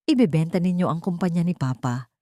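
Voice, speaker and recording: A woman's voice: fairly low pitch, expressive, a little fast, mellow, no room sound, clean studio sound, close to an everyday voice. A woman voicing a frightened, respectful little rich boy pleading for his nanny in an audiobook drama. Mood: firm, accusing.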